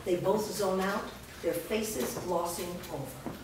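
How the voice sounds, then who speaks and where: A woman reads stage directions as the narrator of a staged reading. Her voice sits at an average pitch, picked up from a distance in a very reverberant room, with clear background noise. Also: takes it slowly.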